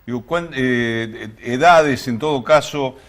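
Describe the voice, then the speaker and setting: A man's voice: mid-range voice, slow pace. Male Argentine interviewer, medium register, conversational host delivery. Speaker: radio or TV host.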